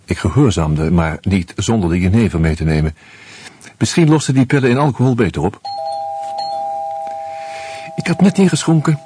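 An actor playing an anxious out-of-work husband who narrates in the first person, wry and hesitant, in a radio play. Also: male voice, fairly low pitch, lively intonation, moderate pace, pauses often, dark tone, husky.

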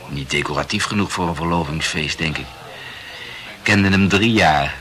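An actor in a radio play playing a chatty, self-absorbed young amateur writer who rambles and corrects himself insistently. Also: male voice, low voice, moderate pace, fairly bright tone. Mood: aggrieved. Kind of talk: literary radio play dialogue.

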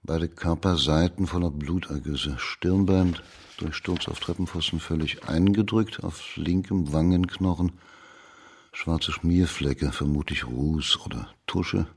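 Low, husky, commanding judge. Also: male voice, exaggerated voice. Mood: pensive. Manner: measured, formal, courteous but commanding. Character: shrewd, authoritative male judge of old China. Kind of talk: radio drama acting.

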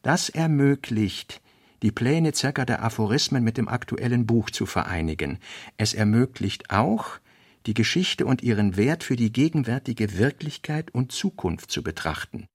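A man's voice: medium pitch, expressive, normal speed, slightly rough, no echo, clean studio sound. A man reading scripted literary notes and essays for a radio play.

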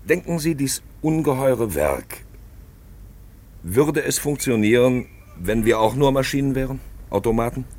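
A man acting a pensive, insistent narrator figure in a 1950s radio play, declaiming and asking probing questions with hesitant pauses. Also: mid-range voice, expressive, a little mellow. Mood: urgent. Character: pensive, insistent male narrator figure who stages the action. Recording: 1950s radio drama recording.

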